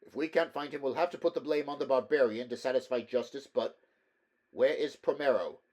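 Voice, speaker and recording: A shrewd, skeptical inquisitor, as a man reads him, laying out his reasoning in brisk, firm sentences. The voice stays close to the reader's own. It fits an audiobook mystery-adventure. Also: medium pitch, a little mellow, voice pushed up, close to an everyday voice.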